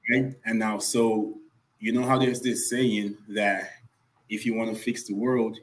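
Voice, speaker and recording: A man's voice: on the low side, little pitch movement, unhurried, low-quality recording. A young man from Nigeria, a guest on a podcast, telling his story in an earnest way and repeating points for emphasis. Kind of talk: casual podcast interview.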